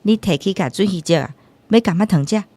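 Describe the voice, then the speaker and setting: An actress playing a protective, sharp-tongued rural aunt who scolds and demands fairness in a radio drama. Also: female voice, medium pitch, a little fast, natural voice. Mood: homely. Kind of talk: Taiwanese radio drama acting.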